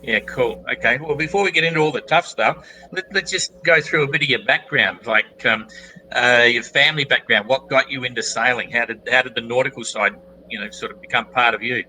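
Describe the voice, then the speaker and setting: A man with a very bright, sharp voice asks loose, rambling questions as the host. Faint noise sits behind him. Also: mid-range voice, a little fast, poor audio. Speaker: podcast host. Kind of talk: casual interview questions.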